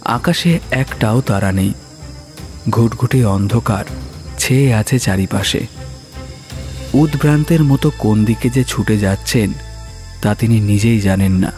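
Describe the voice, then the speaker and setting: A man narrating a horror story in a dramatic, suspenseful way, with pauses for effect. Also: fairly low pitch. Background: soft music.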